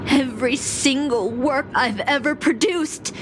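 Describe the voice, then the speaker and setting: A woman playing a proud, bitter fallen singing film star in a video game, with slow theatrical delivery. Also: high-pitched, noisy background. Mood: cold resolve. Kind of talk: dramatic video game voice acting.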